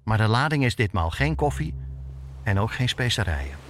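A man's voice: lowish voice, sing-song, moderate pace, noisy background. A man hosting as a reporter, reading scripted documentary narration for a podcast.

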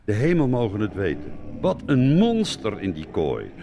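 A lowish-voiced male statesman in a historical radio play. He is wary, formal and terse, with very mobile intonation. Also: huge pitch swings, moderate pace, background noise. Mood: startled. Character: wary, dutiful senior statesman serving a prince.

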